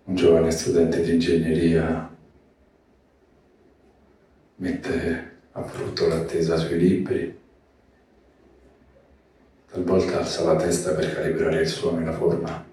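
A man giving a slow literary reading of poetic prose. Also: low-pitched, monotone, mellow. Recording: roomy echoing space, distant mic, faint noise.

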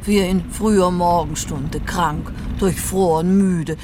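A woman's voice: fairly low pitch, fairly even intonation, a little slow, background noise, lightly acted. A woman playing a composed, sympathetic companion in a German radio drama, speaking emphatically and articulately. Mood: earnest, emphatic.

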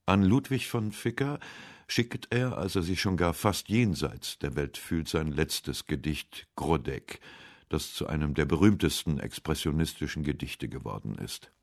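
A man narrating a literary radio essay from a script in a measured, serious manner. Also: extremely low pitch, animated.

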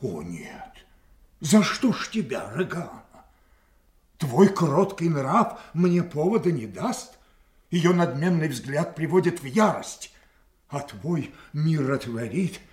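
A proud old king in an audio tragedy, wounded and quick to wrath. He declaims slowly and theatrically, with a volatile temper. The sound is roomy and echoing. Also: on the high side, very animated, darkish tone. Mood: pleading. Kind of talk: classical stage tragedy, audio play acting.

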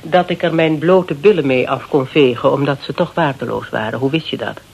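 A woman's voice: a bass voice, a little fast, creaky, darkish tone, slightly rough, exaggerated voice, low-quality recording. A woman playing a cool, tough private detective in a Dutch radio drama, terse and dryly probing, with a Netherlands accent. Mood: menacing. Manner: terse, dry, pointed questioning.

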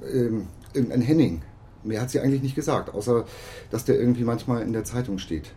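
An actor playing a nervous, defensive call centre worker, hesitant and colloquial, in a German radio crime drama. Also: male voice, medium pitch, a little fast, mellow, a little hiss or hum, close to an everyday voice. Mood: uneasy, evasive.

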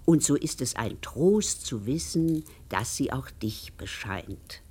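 An actress playing a devout, tender, grieving servant woman in a German radio play, moving between hushed and insistent delivery. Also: rumbling low, animated, slow, husky, full character voice. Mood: tender, wistful.

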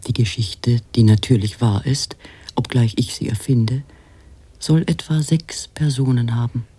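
An actor playing a musing author, an inner narrating voice, hushed and often half-whispered, in a literary radio play. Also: male voice, mid-range voice, fairly even intonation, a little slow, slightly rough, dry recording. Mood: reflective.

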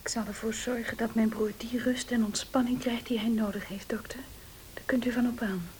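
A woman playing an anxious, devoted sister in a Dutch radio play, hushed, worried and formal. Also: fairly high pitch, steady tone, a little fast, natural voice. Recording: faintly hissy old radio recording.

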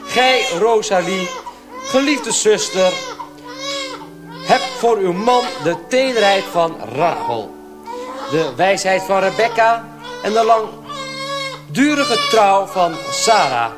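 Solemn, halting young priest, swooping pitch. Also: male voice, sing-song, music in the background, full character voice. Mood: solemn, fervent. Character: gentle, frail young village priest, solemn and resigned. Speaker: actor in a radio drama. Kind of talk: Dutch radio play acting.

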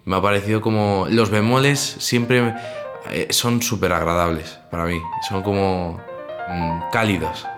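A man's voice: low voice, fairly even intonation, measured pace. A man talking casually and reflectively, hesitating as he searches for words. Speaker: pianist. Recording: music under the voice. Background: clear music.

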